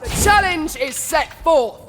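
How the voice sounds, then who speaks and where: An actress playing a proud, righteous holy warrior in a fantasy game, solemn, commanding and declamatory. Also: female voice, sits high, animated, a little slow, in a large hall, over loud music. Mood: defiant. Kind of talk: dramatic fantasy game acting.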